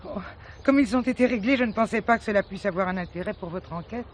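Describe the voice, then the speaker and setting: A woman's voice: medium pitch, fast, slight background noise, close to an everyday voice. A woman playing a businesslike boarding-house landlady in a 1970s radio drama, deferential and slightly defensive. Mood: polite, businesslike.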